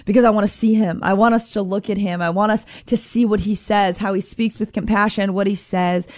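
A woman hosting a faith radio show, earnest and upbeat, with a slightly scratchy, hoarse voice. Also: mid-range voice, fairly even intonation, a little fast, darkish tone, no echo. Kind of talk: prayerful scripture talk.

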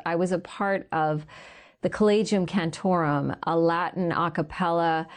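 A woman in her thirties from Canada, a guest giving an earnest faith testimony and slowing down to stress the words that matter to her. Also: on the low side, darkish tone.

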